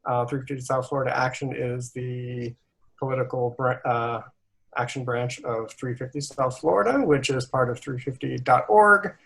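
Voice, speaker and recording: A man hosting a candidate forum, speaking haltingly with many restarts and chuckling between points. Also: medium pitch, vocal fry, a bit bright, husky, low-quality recording. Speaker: forum host, moderator. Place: video call.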